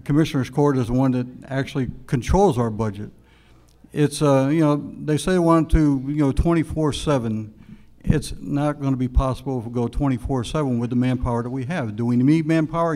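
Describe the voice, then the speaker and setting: A man answering as an incumbent constable at a candidate forum, with a halting, hesitant delivery. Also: medium pitch, mellow.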